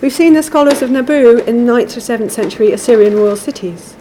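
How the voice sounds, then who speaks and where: A woman giving a measured, formal academic lecture from a prepared text. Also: a little high, mellow, a little hiss or hum, low-quality recording. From England.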